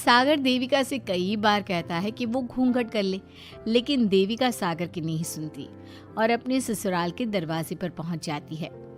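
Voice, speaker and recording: A woman's voice: mid-range voice, animated, unhurried, faint music behind. A woman reading a scripted moral story as its narrator.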